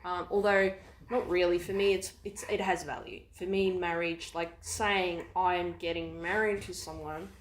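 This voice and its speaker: A woman's voice: on the low side, steady tone, normal speed. A woman with an Afrikaans accent chatting casually as a host, rambling and restarting her thoughts as she goes. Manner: rambling, restarts often, thinks aloud. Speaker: podcast host. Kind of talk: casual chat. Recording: echoey room with faint noise.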